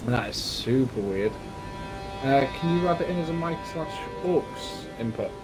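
A man's voice: mid-range voice, moderate pace. Young man chatting over game music. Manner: bantering, swearing, relaxed. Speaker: streamer. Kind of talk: casual co-op gameplay chat.

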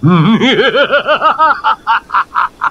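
A performer voicing a menacing male ghost in a demon effigy, gloating in a deep, booming voice for a horror cartoon. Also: big pitch swings, bright, forceful tone, breathy edge, highs cut off, heavily acted. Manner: slow, gloating, villainous. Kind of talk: Hindi horror cartoon voice acting.

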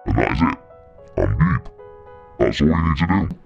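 A man talks casually through an octave-down pitch effect. The voice is extremely low and heavily gravelly, with a dull microphone sound. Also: animated, moderate pace, faint music behind. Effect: pitch shifter, one octave down. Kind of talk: casual software tutorial.

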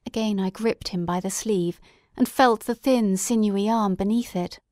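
A woman playing an excitable, devoted gentleman storyteller in a dramatic audiobook, with an expressive, earnest and formal delivery. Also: mid-range voice, moderate pace, no echo, studio quality, close to an everyday voice. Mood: earnest narration.

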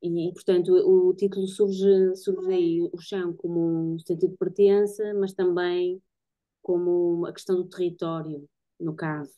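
A woman's voice: on the low side, flat delivery, normal speed, mellow. A woman in her thirties with a European Portuguese accent, thoughtfully explaining her work in conversation, searching for her words. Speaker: guest photographer and artist. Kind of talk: casual explanatory conversation about own work. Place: home bedroom-living room, indoors. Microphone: computer mic on a video call. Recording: dull, lo-fi video-call audio.

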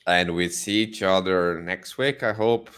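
A man's voice: low voice, steady tone, unhurried, a bit bright, clean tone. A man in his twenties hosting a show over a headset from home, teasing his co-host in casual chat. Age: 20s. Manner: teasing, playful. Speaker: podcast host. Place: home room. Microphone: headset on video call.

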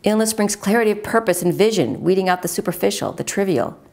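A middle-aged American woman reading her own memoir aloud in a measured, earnest manner. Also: medium pitch, very animated, creaky, darkish tone. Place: at a wooden table in a home dining room.

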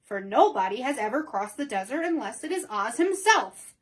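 A woman's voice: on the high side, sing-song, normal speed. Woman reading a shy soldier, plain and hesitant, storybook. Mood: timid. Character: timid green-whiskered male soldier.

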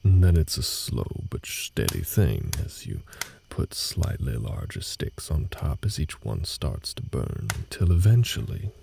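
Man playing a gravelly, very deep gruff cowboy. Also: huge pitch swings, background noise, exaggerated voice. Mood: hushed, patient. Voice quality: gravelly, husky low voice. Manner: slow, halting, folksy, reserved. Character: gruff, guarded cowboy ranch hand patiently teaching a newcomer. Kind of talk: intimate scripted audio roleplay, slow speech to the listener.

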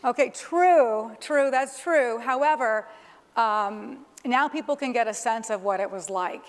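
A woman's voice: fairly high pitch, unhurried. A middle-aged woman giving an explanatory live demonstration from a conference stage. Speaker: corporate executive presenting a keynote demonstration. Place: large conference stage, indoors. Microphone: clip-on lapel microphone. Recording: reverberant hall sound through PA.

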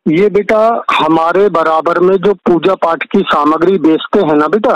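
A man with a high-pitched voice talks over a dull phone line as a self-styled holy man. He is insistent and paternal, going over his points again and checking he is understood. The sound is dry. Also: steady tone, moderate pace, dry recording. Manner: paternal address, insistent, repeats himself with constant check questions. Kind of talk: phone conversation, sales pitch. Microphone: telephone. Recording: muffled lo-fi recorded phone call.

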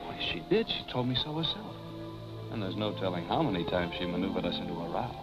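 An American actor in his thirties playing a smooth, apologetic young husband, earnest and glib, in a 1940s Hollywood film. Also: low voice, sing-song, fast talker. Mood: indignant. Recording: muffled vintage film soundtrack with music.

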